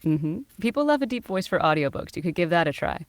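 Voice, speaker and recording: A woman voice actor as an interview guest, answering in a lively way and laughing often. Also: on the low side, fast, no room sound. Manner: bright, laughing, animated answers. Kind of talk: casual interview chat.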